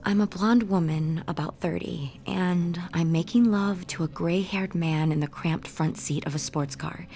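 A woman's voice: on the low side, measured pace, few pauses, mellow. An actress playing a candid, sardonic young woman artist who sounds haunted, in a naturalistic audio drama. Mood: haunted, intimate. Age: young adult.

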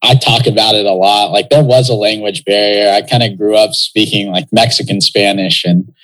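A man's voice: lowish voice, steady tone, moderate pace, a bit bright, low-quality recording. A young man from Texas, a pro soccer player talking as an interview guest in a loose, reflective way, with lots of fillers. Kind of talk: casual interview talk.

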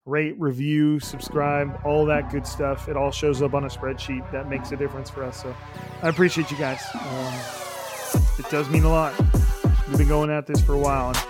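A young man hosting a solo podcast, his voice raspy and hoarse, rambling casually with many fillers. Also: a little high, sing-song, normal speed, over music. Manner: rambling, upbeat, lots of fillers. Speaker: podcast host.

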